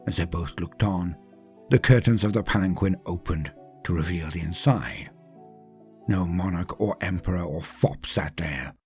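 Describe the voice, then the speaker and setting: A man's voice: sits low, normal speed, a little mellow. A man reading a scripted story as a dramatic narrator, with theatrical, emphatic phrasing that drops away at line ends. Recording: music under the voice.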